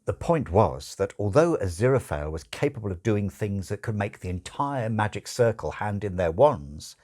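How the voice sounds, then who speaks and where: A man reading a literary audiobook as narrator, expressive, with wry, deliberate phrasing. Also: fairly low pitch.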